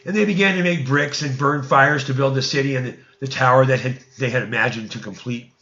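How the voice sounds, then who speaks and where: A dull, lo-fi recording of a man with a mid-pitched voice reading old scripture aloud. He narrates deliberately, like a storyteller, and now and then trips over a word. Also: sharp tone. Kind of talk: read-aloud narrative of an ancient religious text.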